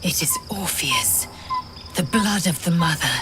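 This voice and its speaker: A woman's voice: on the low side, huge pitch swings, measured pace, music in the background. A woman playing a fervent, stern alien warrior in a video game, speaking in solemn, clipped declarations. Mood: solemn, intense. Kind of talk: dramatic game dialogue.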